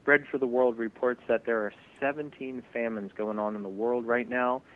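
A man co-hosting a broadcast discussion, reeling off statistics in a measured way. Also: mid-range voice, fairly even intonation. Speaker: co-host. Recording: tinny phone-like line with faint hum.

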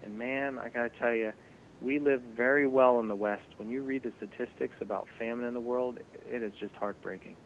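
Co-host, male, measured and fact-heavy delivery, tinny lo-fi line with faint hum. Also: mid-range voice, steady tone. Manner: reels off statistics, measured. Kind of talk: conversational broadcast discussion.

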